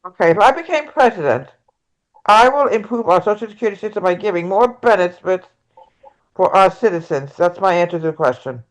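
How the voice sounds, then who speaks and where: An earnest progressive woman , acted by a woman, stands as a presidential candidate in a stage-play debate. She speaks at a middle pitch and stumbles a little, repeating phrases as she states her principles. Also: lively intonation, fairly quick, lightly acted, rough recording. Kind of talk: amateur table read of a stage play.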